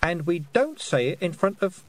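A man voicing a small, cheeky little boy with halting, babyish words in a storybook reading. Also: extremely high pitch, lively intonation, pitched above the performer's own voice. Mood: reluctant, muttering.

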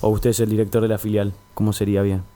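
A man's voice: lowish voice, little pitch movement, quick pace, dark tone, lightly acted. A man playing a pompous, sarcastic casting director with an Argentine accent in a comic radio play. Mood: dry, commanding. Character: haughty, scornful male casting director.

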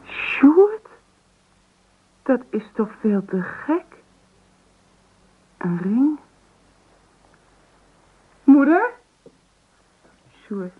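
A woman's voice: high voice, very animated, extremely slow, pauses often, exaggerated voice. An actress playing an emotional, defiant young woman in love in a Dutch radio play. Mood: surprised, moved. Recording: tinny, noisy old radio recording.

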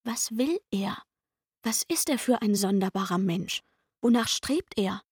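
A woman's voice: medium pitch, expressive, measured pace, darkish tone, husky, dry recording, clean studio sound. An actress playing an aggrieved young chambermaid in a literary radio play, insistent and indignant as she pours out her complaints.

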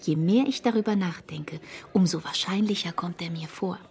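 An actress playing a motherly older friend who eagerly shares a hushed suspicion, in a radio play. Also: fairly low pitch, moderate pace, husky. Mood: confiding.

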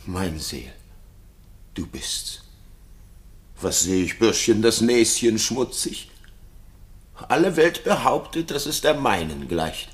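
An actor playing a jealous, tormented king in a classical radio play, speaking in a halting, theatrical, brooding way. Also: on the low side, sing-song. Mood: tender, uneasy.

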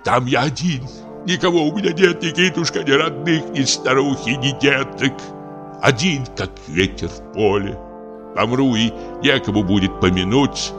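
A man's voice: medium pitch, takes it slowly, pitched above the performer's own voice, lightly acted. A man voicing a drunken, lonely comic actor of fifty-eight, frightened and maudlin, lamenting in a feverish, breathless, theatrical way. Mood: frightened, pleading. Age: 50s. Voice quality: breathless, trembling. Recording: music under the voice. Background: music.

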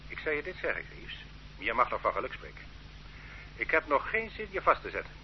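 An actor playing a stern state interrogator who bullies and threatens a suspect in a radio play, in a dull old recording with faint hum. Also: male voice, mid-range voice, sing-song, brisk. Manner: bullying, condescending. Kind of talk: radio drama acting.

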